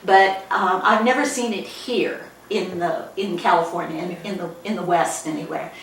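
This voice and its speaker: Older American woman, author giving an informal talk, halting delivery, recorded at a distance with background noise. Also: lowish voice, gravelly. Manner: halting, with frequent pauses mid-sentence. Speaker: guest author and former newspaper editor. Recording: echoey room, distant mic, background noise.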